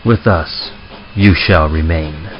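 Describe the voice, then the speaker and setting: A man's voice: a bass voice, slow pace, lo-fi sound, a little hiss or hum. A man voicing an ominous train conductor in old-time radio horror style, chanting in a menacing sing-song rhyme.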